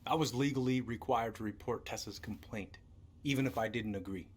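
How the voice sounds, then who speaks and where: An actor playing a gruff, weary middle-aged American lawman in a naturalistic game drama. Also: mid-range voice, a little fast, close to an everyday voice. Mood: defensive, earnest. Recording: noisy game capture with hum.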